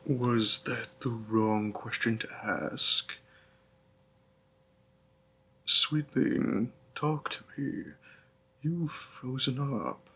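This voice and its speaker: A man playing a gentle, doting demon boyfriend in an intimate audio roleplay, speaking tenderly in a hushed, husky, creaky voice. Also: medium pitch, full character voice. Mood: gentle, curious. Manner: slow, hushed, tender, with pauses.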